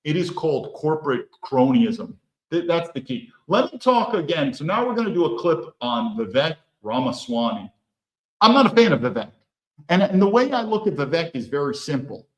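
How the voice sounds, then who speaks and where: A man's voice: a little high, big pitch swings, normal speed. A man hosting his own channel, talking through a commentary monologue in a blunt, opinionated way and firing off rhetorical questions. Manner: rhetorical, peppers talk with questions. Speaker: host. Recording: echoey room.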